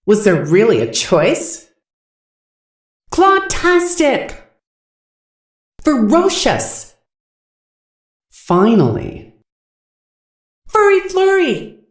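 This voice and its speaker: A woman voicing a haughty, competitive animatronic wolf in a video game, sassy, smug and showy. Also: mid-range voice. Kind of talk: video game catchphrase acting. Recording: echoey, distant.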